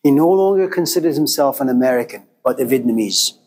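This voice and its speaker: A man's voice: mid-range voice, lively intonation, fast talker, darkish tone. A man of Persian background giving a classroom lecture, speaking rhetorically and driving points home through emphatic repetition. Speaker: teacher.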